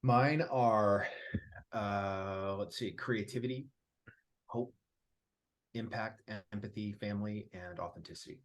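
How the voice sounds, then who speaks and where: A man in a casual group interview, talking about his work in a relaxed, emphatic way and laughing easily. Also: fairly low pitch, takes it slowly, long pauses. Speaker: featured guest, app founder.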